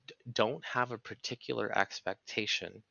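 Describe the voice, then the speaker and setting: A man's voice: lowish voice, normal speed, vocal fry, sharp tone, dry recording, highs cut off. A man speaking as a podcast guest in reflective conversation, explaining things earnestly and analytically in long sentences.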